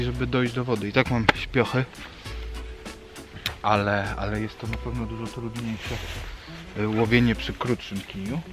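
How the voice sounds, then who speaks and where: A man in his thirties filming a fishing vlog outdoors by a small river, chatting and explaining as he fishes. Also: medium pitch. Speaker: fishing vlogger. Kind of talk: casual outdoor vlog talk.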